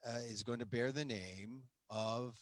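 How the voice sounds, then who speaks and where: A man hosting a conversational interview, animated and quick to interject, often laughing as he talks. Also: mid-range voice, dry recording. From United States. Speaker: host.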